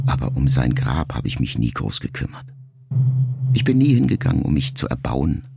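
Male, very low and creaky: a grieving writer son, measured, intimate radio-play monologue. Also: heavily acted. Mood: reflective, quietly reproachful. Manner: measured, reflective, intimate, with deliberate pauses. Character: grieving grown son, a writer, addressing his dying mother.

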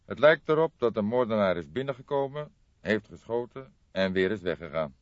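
A man voicing an older police detective in an audiobook, grave, thoughtful and deliberate. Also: on the low side, animated, dry recording, lo-fi sound, pitched above the performer's own voice, close to an everyday voice.

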